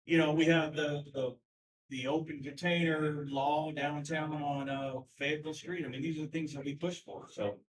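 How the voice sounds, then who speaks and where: A man's voice: on the high side, level tone, normal speed, a crowd in the background. A man chairing a party convention in casual, conversational words with restarts, heard in a meeting room. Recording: voice from far off the mic.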